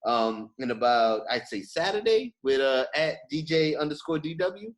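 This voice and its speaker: A man's voice: a little high, expressive, normal speed. A man hosting a casual podcast chat, speaking effusively and emphatically and often repeating his phrases. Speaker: podcast host.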